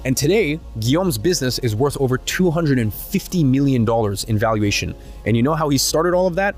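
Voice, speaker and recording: A man narrating a scripted sales pitch for his course, fast and emphatic, listing benefits one after another. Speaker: course creator and agency owner.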